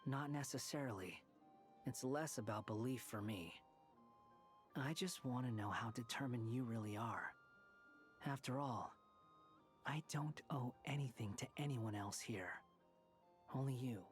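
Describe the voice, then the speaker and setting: An actor playing a brooding, vengeful young man in a video game, speaking in a deliberate, cynical way. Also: mid-range voice, faint music behind, lightly acted.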